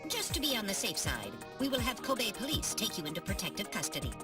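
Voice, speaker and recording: A prim little robot sidekick played by a woman for a video game, animated in pitch yet precise and literal. The delivery is dryly teasing, a bit far from the mic with music behind. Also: fast, close to an everyday voice. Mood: matter-of-fact.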